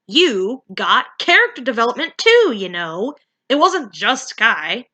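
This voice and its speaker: A woman's voice: fairly high pitch, big pitch swings, takes it slowly, bright, forceful tone, exaggerated voice. A woman playing an omniscient storybook narrator who chats to a character in a breezy, placating way. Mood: encouraging.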